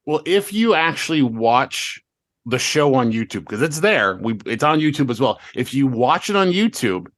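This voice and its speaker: A man chatting casually as a show host, rambling through stories in an animated way and voicing remembered remarks. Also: mid-range voice, normal speed, dry recording, clean studio sound. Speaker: podcast host and showrunner of an audio drama. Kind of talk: casual chat looking back on a show's season.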